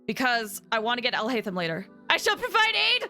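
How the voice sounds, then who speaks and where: A woman game streamer chatting casually with her viewers in an excitable, chatty manner. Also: fairly high pitch, a little fast, soft background music. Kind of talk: casual livestream chat with viewers.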